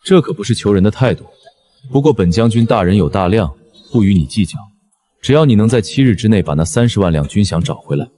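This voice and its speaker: Male actor doing a roguish young general, cocky, mocking, animated drama delivery, swings all over. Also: fairly low pitch, fast, lightly acted. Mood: cocky teasing. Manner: mocking, theatrical. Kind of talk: Chinese animated web drama voice acting.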